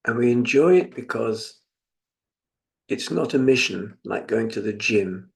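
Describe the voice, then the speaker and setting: A British man in his seventies from England leading a guided meditation as a teacher, speaking in a reflective, gently instructive manner with pauses. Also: mid-range voice, animated, creaky. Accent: British English. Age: 70s.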